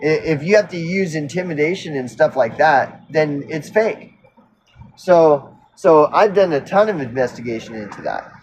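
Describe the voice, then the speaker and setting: Higher-voiced man of fifty, rambling and earnest. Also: expressive, a little hiss or hum, rough recording. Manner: halting and repetitive, circles back mid-sentence; earnest, insistent, casually profane. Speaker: recovery vlogger. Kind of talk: rambling unscripted personal rant.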